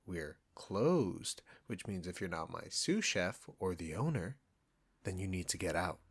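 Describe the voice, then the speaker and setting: A man's voice: deep voice, very animated, unhurried, breathy edge, heavily acted. A man playing a proud, defensive, condescending restaurant chef in a scripted roleplay spoken straight to the listener. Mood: annoyed, firm. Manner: condescending, combative, sarcastic, swearing.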